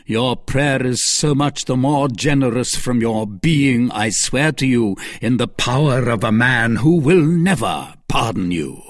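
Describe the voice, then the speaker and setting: A narrator voicing a vengeful, unforgiving baron in an audiobook novel, with a scornful and forceful manner. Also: mid-range voice, animated, unhurried, few pauses, no room sound, clean studio sound, voice pushed up. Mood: scornful anger.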